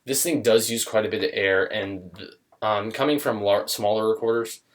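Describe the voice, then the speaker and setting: A man's voice: fairly low pitch, normal speed. A young man talking casually to camera in a small room, thinking aloud with many fillers and restarts. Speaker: musician demonstrating an instrument.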